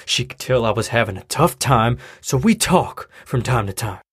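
A man's voice: medium pitch, animated, moderate pace, fairly bright tone, slightly rough. A middle-aged man playing a weary widowed father, caring but defensive, in a naturalistic audio drama. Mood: defensive, hurt.

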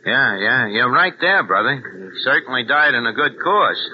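Crook narrator , an actor in the part: slangy, ungrammatical tough guy, lively pitch, old-time radio. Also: normal speed, close to an everyday voice. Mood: scheming. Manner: slangy tough-guy talk, bad grammar. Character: streetwise small-time crook posing as an Englishman. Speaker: narrator and lead character. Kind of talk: 1940s radio acting. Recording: dull old radio recording with music.

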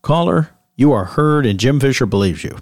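An actor playing an earnest host of a late-night paranormal call-in radio show, measured and conspiratorial in manner. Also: male voice, mid-range voice, lively intonation, a little mellow, no room sound, lightly acted. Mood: hushed, conspiratorial. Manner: measured, conspiratorial, theatrical sign-off.

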